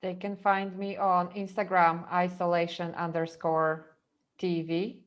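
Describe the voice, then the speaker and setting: A woman from Poland, a podcast guest telling stories in an animated way and laughing easily. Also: lowish voice, a little slow, dull audio. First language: Polish. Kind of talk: casual storytelling chat.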